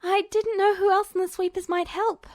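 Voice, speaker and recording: A feisty young girl, acted by a woman narrator, very high and shrill. She is anxious, loud and sharp-tempered, a fan fiction audiobook character in a very dry recording. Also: level tone, normal speed, dry recording. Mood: anxious, annoyed. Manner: shrill, exasperated outbursts.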